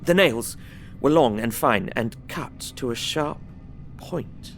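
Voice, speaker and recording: An actor playing an earnest, uneasy young Englishman, narrating his diary in an audio drama with measured, formal, dramatic phrasing. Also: medium pitch, animated, vocal fry, soft background music. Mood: intrigued, observant.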